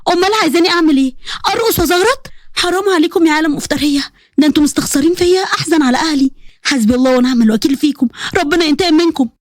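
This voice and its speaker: A woman storyteller acts out a defiant young orphaned bride in an audio story. She is sharp, sarcastic and wounded, firing words out rapidly in a high voice, recorded dry and close. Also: no room sound, lightly acted. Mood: indignant, tearful. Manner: sharp, indignant, mouthy delivery.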